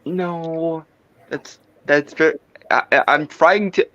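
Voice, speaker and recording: A man's voice: on the high side, animated, a little slow, bright, forceful tone, no echo, lo-fi sound. A man playing a tabletop roleplay game, chatting casually and hesitantly, restarting and repeating words. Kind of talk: casual chat.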